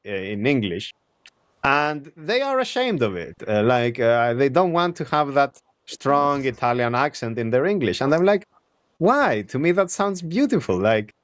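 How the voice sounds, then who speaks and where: A man hosts a relaxed conversation, speaking with lively energy and breaking into little acted-out remarks as he makes his points. His pitch is mid-range. The sound is dry and close, with no room echo. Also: smooth. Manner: animated, acts out imagined quotes, laughs easily. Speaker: podcast host. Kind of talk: casual conversation.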